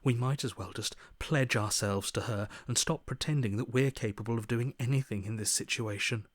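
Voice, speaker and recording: A man's voice: medium pitch, moderate pace, no room sound, studio quality, lightly acted. An actor voicing a defeated, fatalistic man in a video game, subdued and mournful, with breath at the ends of phrases. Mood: hopeless, resigned. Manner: resigned, mournful, bitterly pessimistic. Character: despairing, defeated man, broken and fatalistic inner voice. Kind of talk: dramatic video game voice acting.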